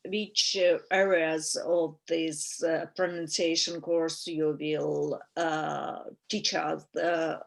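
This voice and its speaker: Slow hesitant woman with an accent. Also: deep voice, level tone, vocal fry. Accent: foreign. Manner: slow, hesitant with many uh fillers. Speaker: student.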